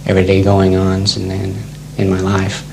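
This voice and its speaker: A middle-aged American man with a very low voice and a flat delivery. He talks about himself haltingly and deliberately, over noticeable hum. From Texas, United States. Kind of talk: unscripted self-introduction. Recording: clear background noise with hum.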